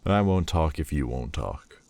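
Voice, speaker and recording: A man playing a deep, slow demon lord. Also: expressive, creaky, a little mellow, no echo, heavily acted. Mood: bickering. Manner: scornful, slow and deliberate. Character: sullen proud male demon lord. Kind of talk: audio roleplay.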